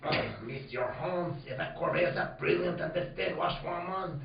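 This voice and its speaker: A man's voice: a little high, normal speed, gravelly, a little hiss or hum. A man playing a pompous French police inspector in a comic radio play, theatrical, with a put-on French accent. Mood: indignant. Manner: theatrical, tags questions with huh. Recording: dull, distant, roomy, lively crowd.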